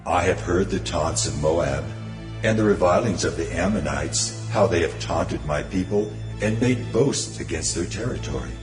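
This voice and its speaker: An actor playing the solemn, grave, wronged voice of God in a dramatized scripture reading. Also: male voice, low-pitched, animated, moderate pace. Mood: grave, aggrieved. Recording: echoing long reverb over music. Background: music.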